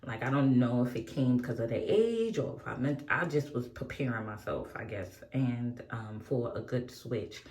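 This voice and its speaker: A woman's voice: a bass voice, animated, moderate pace, few pauses, darkish tone. A woman in her forties chatting candidly while doing her makeup, rambling and laughing often. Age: 40s. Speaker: beauty vlogger. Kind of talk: casual get-ready-with-me chat. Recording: echoey room.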